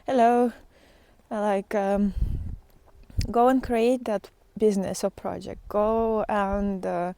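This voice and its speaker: A woman speaks at a slow pace in a medium-pitched voice, with very little room sound. She talks like a spiritual vlogger thinking aloud, casual and unscripted. Her delivery is reflective and halting, with pauses between short phrases. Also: steady tone, gravelly, dark tone, clean tone, no room sound.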